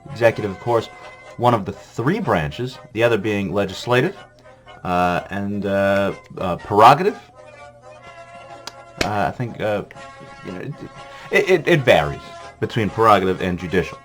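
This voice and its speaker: A man's voice: fairly low pitch, lively intonation, normal speed, faint music behind. A man hosting a comedic history vlog, rambling and swearing through a list of presidents with lots of fillers, wired on coffee. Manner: rambling, profane, full of fillers. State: jittery from coffee. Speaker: vlog host. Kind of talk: irreverent comedic history rundown.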